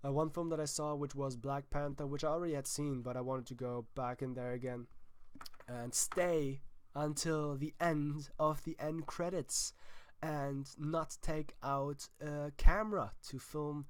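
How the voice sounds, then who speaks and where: A man's voice: fairly high pitch, flat delivery, measured pace, clear, resonant tone. A young man with a German accent, hosting his own film vlog and sick, talking in a chatty, emphatic way with restarts. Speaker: film vlogger, host. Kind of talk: casual vlog chat.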